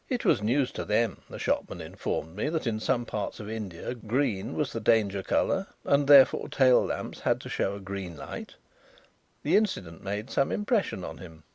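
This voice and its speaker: A smooth-voiced blind gentleman detective talks in measured, courteous tones with a thread of dry irony, as a storyteller puts him on. His delivery stays lively in pitch and close, dry and direct. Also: no room sound. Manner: measured and politely ironic.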